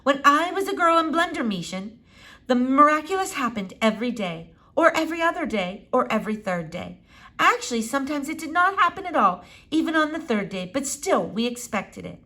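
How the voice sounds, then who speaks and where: A woman's voice: high-pitched, very animated, brisk, bright, forceful tone, pitched above the performer's own voice, heavily acted. A woman voicing an elderly, philosophical lady doctor from a children's book, speaking emphatically in quaint, formal, repetitive phrasing. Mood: hopeful reminiscing.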